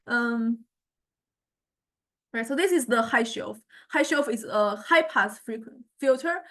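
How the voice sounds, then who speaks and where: A woman lecturer giving an informal software demonstration, hesitantly thinking aloud as she goes. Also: on the high side. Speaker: music technology lecturer.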